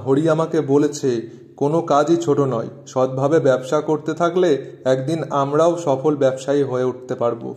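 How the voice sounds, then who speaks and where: Man playing a trusting, slightly high-voiced cartoon grocer. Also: steady tone, a little fast, darkish tone, natural voice. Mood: trusting, earnest. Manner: polite, eager, earnest. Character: trusting, good-natured young village grocer. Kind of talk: Bengali moral-story cartoon dubbing.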